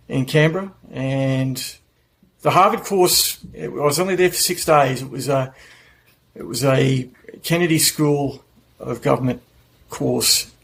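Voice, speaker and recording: A man in his forties with an Australian accent, answering interview questions in a relaxed, measured way. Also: mid-range voice, vocal fry, a little hiss or hum, rough recording. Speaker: podcast guest.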